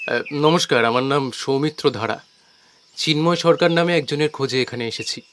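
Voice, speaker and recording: A man's voice: fairly high pitch, moderate pace, a bit bright, a little hiss or hum, lightly acted. A man playing a polite, earnest visitor in an audio story, speaking plainly with slight hesitation. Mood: polite, concerned. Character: polite, earnest man searching for a missing person. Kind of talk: audio story dramatized dialogue.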